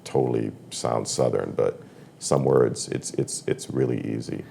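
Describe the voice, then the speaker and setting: A middle-aged man from Massachusetts, a voiceover artist chatting as a podcast guest, halting and thoughtful with frequent restarts. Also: rumbling low, fairly even intonation, vocal fry, mellow, a little hiss or hum. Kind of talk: casual conversation.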